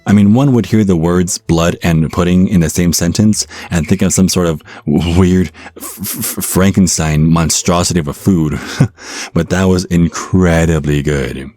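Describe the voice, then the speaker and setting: A man's voice: low voice, fairly even intonation, normal speed, dark tone, no room sound, close to an everyday voice. A man playing an easygoing, sarcastic American on a date in an audio roleplay, wry and casual in his delivery. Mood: impressed. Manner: wry, casual, sometimes flustered. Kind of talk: audio roleplay acting with first-person narration.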